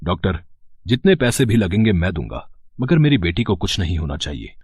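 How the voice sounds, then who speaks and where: A man voicing a worried, wealthy father in an animated story, speaking earnestly and reassuringly with a husky voice. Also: deep voice, a little fast, dry recording, clean studio sound, exaggerated voice.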